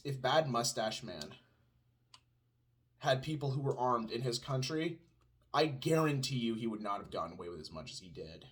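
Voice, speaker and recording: A man with a pronounced Southern US twang, ranting sarcastically at home in a casual chat with his wife. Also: mid-range voice. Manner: sarcastic, ranting, answers his own mock questions. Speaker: podcast co-host.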